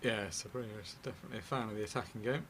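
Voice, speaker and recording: A man's voice: lowish voice, fairly bright tone, slight background noise. A man co-commentating live on a pool match, joking and teasing his partner. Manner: quick, teasing, chuckles often.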